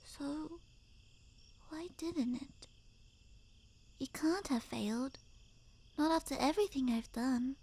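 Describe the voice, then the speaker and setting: A woman playing a sweet, obsessive necromancer girlfriend in an intimate ASMR roleplay, cooing softly and often half-whispering. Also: high voice, breathy edge, heavily acted. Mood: hushed, anxious. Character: doting, obsessive yandere girlfriend turned necromancer, sweet and unhinged.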